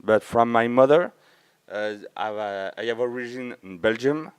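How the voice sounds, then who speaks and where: A man from France with a French accent, a native French speaker, giving an informal conference talk in halting English, often searching for words. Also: medium pitch, clear, resonant tone. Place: large auditorium with a few listeners, speaker at the front.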